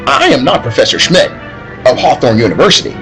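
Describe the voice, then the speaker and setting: A man's voice: medium pitch, wildly swooping pitch, brisk, sharp tone, faint music behind, heavily acted. A man acting a grandiose, gloating villain bent on ruling the world, in an old-time radio drama style. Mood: menacing, smug. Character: male megalomaniac mad villain seeking world domination.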